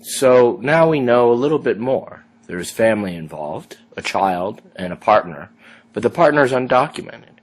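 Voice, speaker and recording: A man's voice: medium pitch, expressive, moderate pace. A man teaching a class, guiding students through an immigration case with a run of questions. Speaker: law instructor. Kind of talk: lecture commentary.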